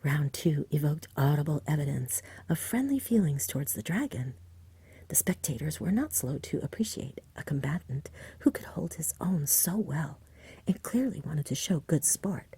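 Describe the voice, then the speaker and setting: A woman reading a story in a hushed, soothing ASMR whisper, close to the microphone. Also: low-pitched, sing-song, moderate pace, dry recording. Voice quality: breathy whisper. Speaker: ASMR reader.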